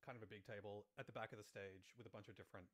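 A man in his thirties with a lowish, bright voice chats as a guest. He explains in a loose, casual way, leaning on "like" and "sort of." He talks into a studio microphone in a small room. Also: fairly even intonation, rapid-fire. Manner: loose, filler-heavy, frequent "like" and "sort of". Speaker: Foley artist, guest. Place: small room indoors, video call. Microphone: studio microphone on boom arm, headphones.

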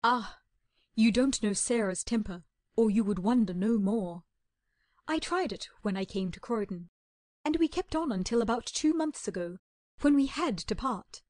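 A tired, plainspoken spinster from a storybook, her voice a little high and lifted above the reader's own, as a woman puts her on. She speaks slowly and plaintively, each complaint drawn out. The sound is dry and close. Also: lively intonation, no room sound, close to an everyday voice. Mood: weary. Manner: plaintive, slow and heartfelt. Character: weary, plainspoken older spinster lady. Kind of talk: audiobook character reading.

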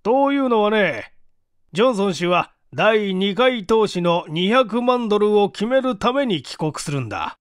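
A man voicing a weighty, insistent company president in a storybook reading, formal, pressing and deliberate. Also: high voice, animated, no room sound, clean studio sound. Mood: persuasive, urgent.

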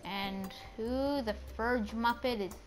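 A woman's voice: a little high, slow pace, faint music behind. A woman chatting casually and playfully over gameplay as a channel host, rambling informally.

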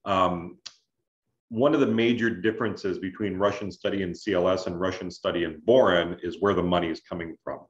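A man explaining things as an adviser in an online information session, in a measured, conversational way with check-in questions. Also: sits low, steady tone. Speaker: scholarship adviser, presenter. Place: indoors on a video call. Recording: roomy, dull video-call audio.

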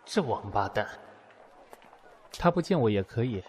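A man's voice: medium pitch, sing-song, a little slow, slight background noise. A man playing a proud, wounded math teacher in an audio drama, speaking in a curt, cool way. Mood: annoyed, insistent. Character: proud, wounded male math teacher rejecting his former lover. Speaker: voice actor in an amateur audio drama. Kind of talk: acted audio drama dialogue. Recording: music behind the voice.

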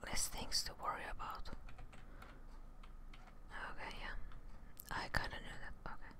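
Whispering woman doing ASMR game commentary. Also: a little slow. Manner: murmured offhand remarks to herself. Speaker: gaming streamer. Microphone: close studio microphone, headset on.

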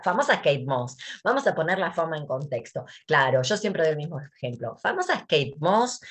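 An Argentine woman speaking casually as a guest, blunt, sarcastic and emphatic, over a headset on a video call from a dim room. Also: low voice. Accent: Argentine Spanish. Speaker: guest, writer and thinker.

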